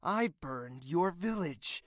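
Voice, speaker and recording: A man acting an embittered, proud crown prince who calls himself a villain in a fantasy audio drama, theatrical and sardonic, swinging from hushed to explosive. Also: fairly high pitch, animated, slow, no echo, studio quality. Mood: bitter, furious.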